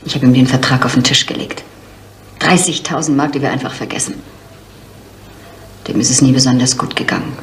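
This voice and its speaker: Low-voiced, stubborn daughter. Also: female voice, fairly even intonation, fairly quick, long pauses, a little hiss or hum. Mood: matter-of-fact. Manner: firm, blunt. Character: stubborn, matter-of-fact daughter of a slain businessman. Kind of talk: 1970s German radio crime drama acting.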